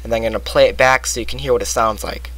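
A man narrating a software tutorial step by step, recorded close on a computer microphone. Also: mid-range voice, steady tone, fast talker. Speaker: tutorial presenter. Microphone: close computer microphone, voice-over.

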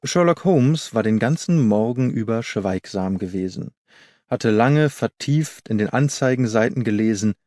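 A man reading literary narration from a script as an audiobook narrator, measured and precise. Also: mid-range voice, warm tone, no echo, studio quality.

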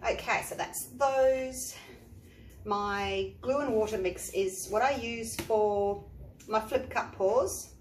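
An Australian woman, an art teacher, chatting step by step through a painting tutorial with a croaky voice from the flu. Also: fairly high pitch, slow. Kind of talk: tutorial narration. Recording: echoey room, faint noise.

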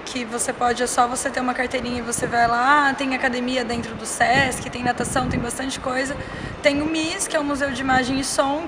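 A young Brazilian woman in her twenties giving earnest, opinionated answers in a street interview at an outdoor station. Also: high voice. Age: 20s. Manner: earnest, opinionated, lists examples. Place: outdoor train station platform. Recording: outdoor background noise.

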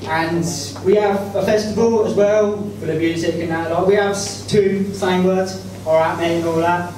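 A man's voice: high voice, fairly even intonation, normal speed, a little hiss or hum. A young man from Reading on a school stage with a handheld mic, rambling and cheeky with laughs. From Reading, England. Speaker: student presenter. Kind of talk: stage comedy bit. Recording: echoey hall, far camera, loud crowd.

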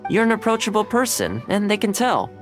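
A man's voice: high voice, very animated, a little fast, soft background music. An actor playing a friendly young man in an anime video game, cheerful, polite and laid-back. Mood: warm, pleased. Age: young adult. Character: friendly, easygoing young male anime housekeeper. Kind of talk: scripted video game dialogue.

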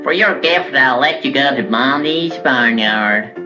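A woman's voice: extremely low pitch, a little slow. A woman voicing a motherly cartoon bird in a children's game. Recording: dull lo-fi game audio. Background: music.

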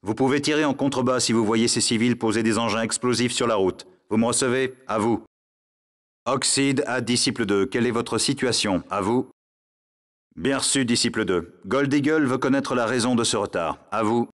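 Actor as a stern military radio dispatcher. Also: male voice, medium pitch, normal speed, lightly acted. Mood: stern, businesslike. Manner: authoritative, clipped. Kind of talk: clipped military radio chatter, game voice acting.

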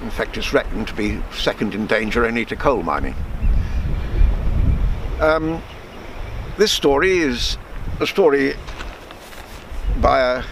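A man in his seventies reading a written true story aloud in a measured way, now and then halting over words. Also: mid-range voice, lively intonation. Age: 70s. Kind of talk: reading aloud a written memoir, with spoken introduction. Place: outdoors on a seafront. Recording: wind and sea noise on microphone.

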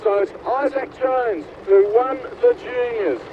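A man on a boat calling the positions in a live swim race, reporting briskly over a radio link. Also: very high voice, huge pitch swings. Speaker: boat commentator. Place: on a boat out on the water. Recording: tinny radio link with noise.

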